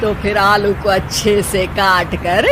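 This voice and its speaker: A woman's voice: mid-range voice, little pitch movement, takes it slowly, noisy background, close to an everyday voice. A woman voicing a bossy, nagging middle-aged Indian mother-in-law in a cartoon family drama, scolding and snapping querulous questions. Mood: irritated. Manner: scolding, sharp questions ending in a querulous "hain?".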